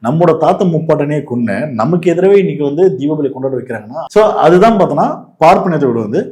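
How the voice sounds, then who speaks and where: Fast-talking Tamil Nadu man, argumentative explainer. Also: a little high, animated, dark tone. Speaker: political commentary host. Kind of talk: casual opinionated political discussion.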